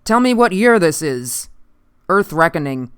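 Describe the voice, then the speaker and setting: An uncanny gaunt scientist out of the past , as an audiobook narrator puts him on, unnerved and vengeful. He speaks in slow, formal, commanding phrases with clear resonance. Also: mid-range voice, fairly bright tone, close to an everyday voice. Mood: insistent.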